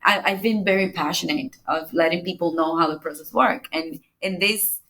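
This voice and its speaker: Woman guest with a lower voice, unhurried and explanatory. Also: a bit bright, poor audio. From Dominican Republic. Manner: measured, explains step by step with small restarts. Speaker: climate negotiator, podcast guest. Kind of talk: explanatory interview answers.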